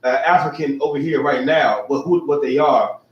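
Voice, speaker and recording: A man's voice: on the high side, fairly even intonation, normal speed. Man calling in from off-mic, muffled echo. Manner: affirming call-outs. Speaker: class member.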